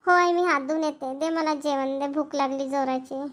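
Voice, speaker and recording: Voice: sits high, little pitch movement, normal speed, a crowd in the background, close to an everyday voice. A voice actor playing an eager little schoolgirl in a Marathi cartoon. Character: eager little schoolgirl talking to her mother. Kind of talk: cartoon dialogue.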